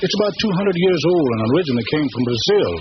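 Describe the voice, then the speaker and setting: An actor playing a polite, guarded West Indian manservant in 1940s radio drama, deferential in manner. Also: male voice, on the high side, expressive, very fast, natural voice. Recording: muffled, noisy old radio recording.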